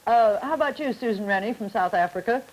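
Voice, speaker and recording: 1950s TV woman moderator, brisk and polite. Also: fairly high pitch, animated, rough recording. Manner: brisk, polite questioning with light laughs. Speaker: moderator of a televised student discussion. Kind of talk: 1950s television panel moderating. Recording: old 1950s television audio.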